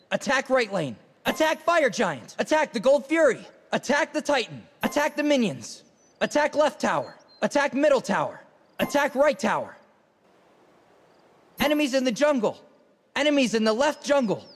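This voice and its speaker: Cocky young male game fighter, very high voice, lively swings, brash, teasing, short callouts. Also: long reverb tail, heavily acted. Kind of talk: video game character voice lines, short callouts.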